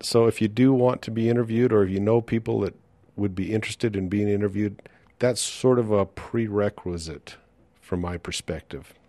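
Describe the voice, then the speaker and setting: A man's voice: on the low side, moderate pace, dark tone, no room sound. A man hosting a podcast, talking casually and chattily to his listeners. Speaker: podcast host and interviewer. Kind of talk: casual podcast talk, reading listener reviews and announcements.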